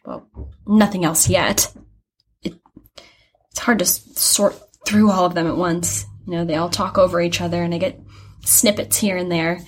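A woman's voice: fairly low pitch, fairly even intonation, moderate pace, slightly rough. A young woman playing an earnest, chatty art student in an audio drama, rambling and hesitant as she explains herself. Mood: chatty, candid. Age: young adult. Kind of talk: naturalistic audio drama acting.

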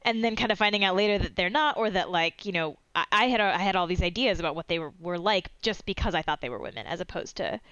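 A woman's voice: on the low side, fast, hardly any pauses, fairly bright tone, smooth, dry recording. Chatty woman host, dull dry sound. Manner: chatty, rambling, many fillers and restarts. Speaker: podcast host. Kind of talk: interview conversation.

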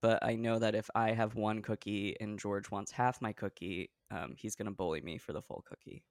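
A young man from Oregon hosting a casual comedy podcast chat, with a playful, deadpan way of joking. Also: fairly low pitch, normal speed, no echo, clean studio sound. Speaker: podcast host. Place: New York.

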